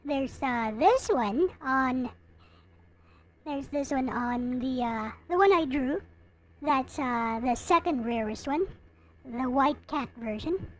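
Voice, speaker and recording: Female streamer, high voice, unhurried pace, casual chat with viewers. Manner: chatting casually to viewers, replying to comments. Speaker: livestream host. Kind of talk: casual livestream chat showing collectible figures.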